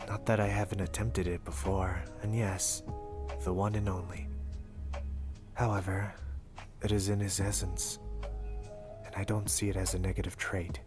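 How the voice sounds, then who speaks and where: Man acting a low-voiced, formal ghoul doctor over music. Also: flat delivery, lightly acted. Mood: composed, formal. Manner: formal, measured, dry. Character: composed, formal male ghoul doctor, curt but loyal. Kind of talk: anime fan audio roleplay. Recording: prominent music bed under voice.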